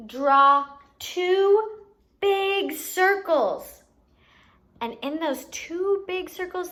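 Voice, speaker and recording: A woman's voice: high-pitched, fairly bright tone. A woman hosting a children's educational activity, playfully giving instructions and asking questions as if to young kids. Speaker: children's story time host and teacher.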